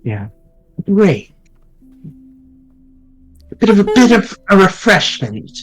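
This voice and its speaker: A man's voice: high-pitched, sing-song, over music, poor audio. A man co-hosting a game stream, mostly answering with short agreeing replies. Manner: slow, brief agreeing replies. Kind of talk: casual gaming stream chat.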